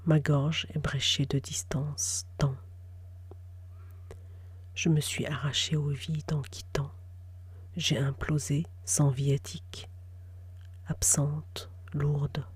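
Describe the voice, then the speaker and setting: A woman's voice: sits low, flat delivery, takes it slowly, pauses often, husky, no room sound. A woman reciting poetry in an intimate whisper. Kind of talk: whispered spoken-word poetry.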